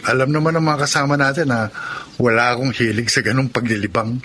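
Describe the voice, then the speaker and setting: Give me a man acting a principled seaman, medium-pitched. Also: fairly even intonation, fast talker, bright, forceful tone, slight background noise, natural voice. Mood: easygoing, principled. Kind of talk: Tagalog radio drama acting.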